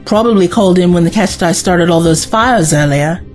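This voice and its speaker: A woman's voice: on the low side, normal speed, darkish tone, soft background music, close to an everyday voice. A young woman playing a brave, caring female companion in a sci-fi audio drama, earnest and direct. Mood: curious, determined.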